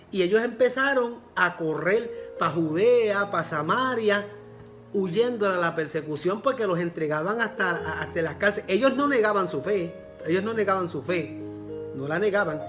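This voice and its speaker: A man's voice: high-pitched, a bit bright. A man with a Puerto Rican accent, preaching a sermon as pastor and repeating phrases for emphasis. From Puerto Rico.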